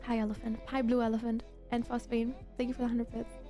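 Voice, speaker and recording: A woman from Germany, whose native language is German, chats casually to her livestream viewers. Her voice sits somewhat high but is very mellow and soft in tone. She talks in a gushing, emphatic way, often repeating herself, with faint music behind her. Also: completely flat delivery, quick pace. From Germany, grew up in Berlin. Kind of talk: casual chat with live viewers.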